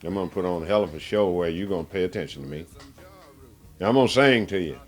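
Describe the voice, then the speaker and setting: Low-voiced blues singer, emphatic. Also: male voice, fast talker, faint music behind. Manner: emphatic, colloquial, demonstrative. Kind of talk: casual interview.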